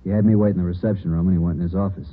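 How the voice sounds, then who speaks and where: A factual officer, played by a man reports quickly in a very deep voice. The sound is a tinny old broadcast with faint hiss. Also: steady tone, lightly acted. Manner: clipped and factual. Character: male guard officer reporting. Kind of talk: 1950s radio drama acting.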